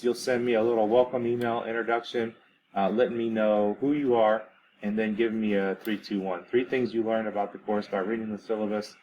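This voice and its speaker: Relaxed low-voiced male teacher. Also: a little hiss or hum, poor audio. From grew up on US military bases in Germany. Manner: relaxed, many ums and uhs. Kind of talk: casual recorded class introduction.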